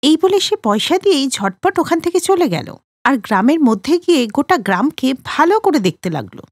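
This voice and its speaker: A woman narrating a children's cartoon story in a steady storytelling manner. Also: sits high, brisk, no echo, clean studio sound.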